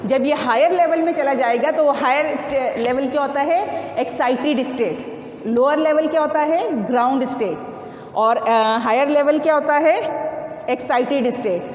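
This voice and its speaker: A woman's voice: high-pitched, moderate pace. A woman physics teacher lecturing through numerical problems in an instructive, repetitive way, often asking questions and answering them herself. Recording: echoey reverberant room with faint noise.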